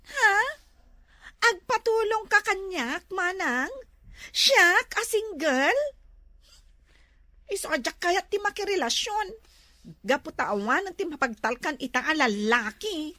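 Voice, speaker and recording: Woman playing a high-pitched gossipy Ilocano neighbour. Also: expressive, slow, long pauses, pushed and bright, no echo, heavily acted. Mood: teasing, surprised. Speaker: actress in a comedy drama. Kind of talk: Ilocano comedy drama acting.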